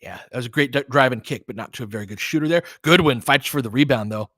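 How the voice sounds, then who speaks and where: A man with a bright, forceful voice and a middling pitch hosts audio play-by-play of basketball. He calls the action in short, clipped phrases as an openly partisan fan and explains tactics conversationally. The sound is dry and close, with little room on it. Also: quick pace, dry recording. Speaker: host and play-by-play commentator. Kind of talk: live audio basketball commentary with casual tactical analysis.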